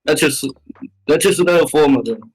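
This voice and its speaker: A man answering casually as an interview guest, halting and repeating short phrases as he goes. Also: a little high, poor audio. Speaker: interview guest, web series creator. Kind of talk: casual chat. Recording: music playing behind. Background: music.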